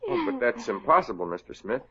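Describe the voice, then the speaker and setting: A man's voice: fairly high pitch, huge pitch swings, fast, creaky, sharp tone, a little hiss or hum, heavily acted. An actor playing an eager, bumbling young salesman and boyfriend in a 1950s radio comedy, stammering, on a muffled old broadcast. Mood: flustered. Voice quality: stammering, goofy.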